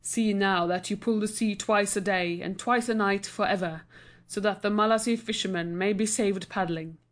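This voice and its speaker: A woman's voice: medium pitch, steady tone, close to an everyday voice. A woman as a stern old magician. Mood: commanding. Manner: commanding, measured.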